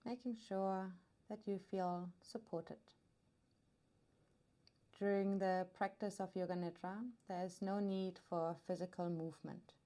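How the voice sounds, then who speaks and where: A woman guiding a meditation as a yoga nidra teacher, soothing and unhurried, leaving long pauses between her phrases. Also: lowish voice, steady tone, warm tone. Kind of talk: guided meditation narration.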